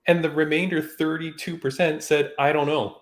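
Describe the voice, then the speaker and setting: A man from Canada hosting a podcast, talking in a relaxed, chatty way. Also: on the high side, moderate pace. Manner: relaxed, chatty, frequent "like" and "I mean". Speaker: podcast host. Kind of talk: casual podcast chat.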